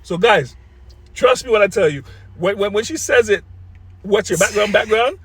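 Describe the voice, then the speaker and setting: A man's voice: high voice, lively intonation, moderate pace, a bit bright, slightly rough, no room sound. A man in his thirties from Saint Vincent, teasing his partner in a playful couple's debate and repeating himself insistently. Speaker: co-host of couple's channel.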